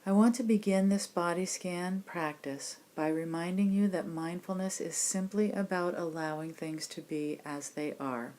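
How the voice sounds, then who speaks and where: A woman meditation teacher giving guided body scan instructions in a gentle, unhurried, reassuring manner. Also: on the low side. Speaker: meditation teacher leading a class program.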